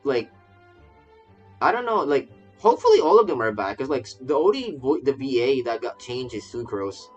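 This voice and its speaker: A man's voice: mid-range voice, sing-song, moderate pace. Rambling, stammering male game streamer. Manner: rambling, stammering, repeats phrases. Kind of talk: casual livestream commentary. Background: faint game music.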